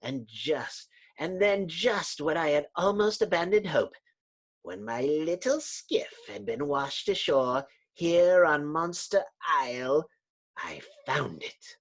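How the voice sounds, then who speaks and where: Woman playing comic-book villain, male outcast, low-pitched, slow, husky, bitter, grandiose. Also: very animated, exaggerated voice. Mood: bitter, brooding. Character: bitter, menacing, mocked male underground villain, Mole Man. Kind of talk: dramatic comic-book reading.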